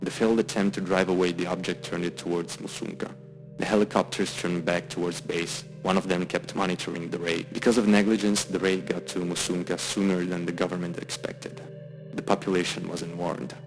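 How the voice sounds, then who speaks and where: Guy narrating a video essay, really low and croaky voice, music playing under it. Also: little pitch movement, a little fast, rough recording. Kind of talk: scripted commentary.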